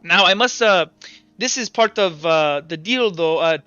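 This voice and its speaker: Evasive Inquisition doctor for tabletop fantasy, male player, bright, halting, soothing on the surface. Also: high-pitched, animated, smooth, no echo, lo-fi sound, soft background music, exaggerated voice. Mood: reassuring, evasive. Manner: hesitant, halting, reassuring.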